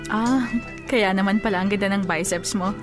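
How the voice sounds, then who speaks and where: Woman acting a coy Filipina flirt over clear music. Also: mid-range voice, moderate pace, natural voice. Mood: flirtatious. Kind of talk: Filipino radio drama dialogue. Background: background music.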